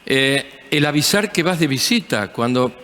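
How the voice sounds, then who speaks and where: Emphatic Argentine TV host. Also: male voice, on the high side, animated, moderate pace, sharp tone, long reverb tail. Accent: Argentine Spanish. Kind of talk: TV panel discussion.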